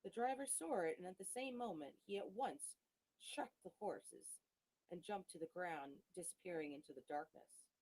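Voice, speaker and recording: A woman reading a gothic novel aloud as an audiobook, in a dramatic, measured storytelling manner with expressive pauses. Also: fairly low pitch, slightly rough, rough recording. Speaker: audiobook reader.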